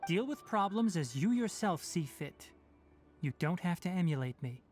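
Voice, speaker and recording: An actor voicing a young fox-eared forest ranger in a video game, a blunt but caring mentor who talks in a measured, matter-of-fact way. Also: medium pitch, expressive, close to an everyday voice. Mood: firm, reassuring. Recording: game music behind the voice.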